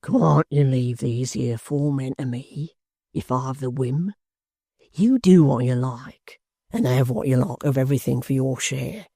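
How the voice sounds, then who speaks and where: A man's voice: vocal fry, warm tone, husky, dry recording, studio quality. A man doing a sly, wheedling hangman. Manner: slow, coaxing, low-voiced. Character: sly menacing male hangman, wheedling and scowling.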